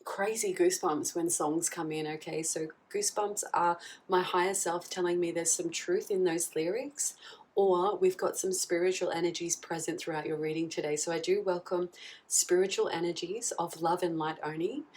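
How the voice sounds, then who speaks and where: A woman with a husky voice from a recent flu, giving a casual tarot reading and often checking in with "okay?". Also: fairly low pitch, steady tone, moderate pace, darkish tone. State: husky, getting over a flu. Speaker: tarot reader. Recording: slightly distant mic, faint background noise.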